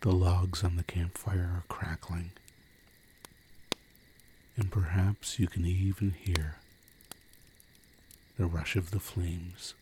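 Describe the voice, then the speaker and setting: A man narrating a guided sleep meditation in a slow, soothing, hushed manner with long pauses. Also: sits low, flat delivery. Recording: campfire and cricket ambience, faint noise. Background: crickets and crackling fire.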